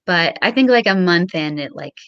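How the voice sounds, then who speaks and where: A young woman with a slightly low voice, recorded fairly dry and close. She talks casually as a guest, in a modest, self-deprecating and tentative way. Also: lively intonation, moderate pace, a bit bright, clean tone, dry recording, highs cut off. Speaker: guest on a show. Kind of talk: casual interview chat.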